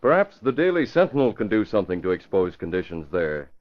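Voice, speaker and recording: A man playing an earnest, resolute young newspaper publisher, serious and measured, in an old-time radio drama. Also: lowish voice, big pitch swings. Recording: dull old radio recording.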